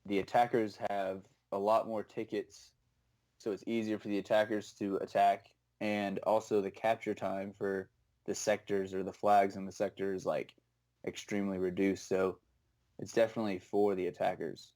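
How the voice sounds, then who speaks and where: Casting: laid-back young male guest, lowish voice, flat, even delivery. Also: moderate pace. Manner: laid-back, plain-spoken, casual swearing. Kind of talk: casual gaming podcast chat.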